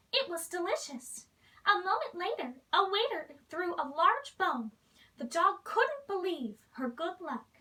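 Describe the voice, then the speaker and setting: A woman reads a children's story aloud in an expressive storybook style, playing the role of a princess. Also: very high voice, recorded from a distance, low-quality recording.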